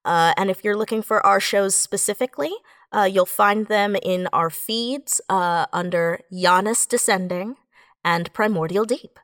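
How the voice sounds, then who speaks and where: Woman guest, average pitch, informal chatty plug. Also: a little slow, fairly bright tone, no echo, clean studio sound. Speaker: guest, audio drama producer. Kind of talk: casual chat, plugging shows.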